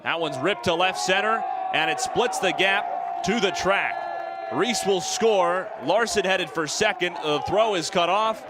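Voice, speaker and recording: Young American man calling games, higher-pitched voice. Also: unhurried, a crowd in the background. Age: young adult. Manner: crisp detailed descriptions, voice raised on big plays. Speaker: play-by-play sportscaster. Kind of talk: live sports play-by-play commentary. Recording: live game ambience, clear background noise. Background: sports venue sounds.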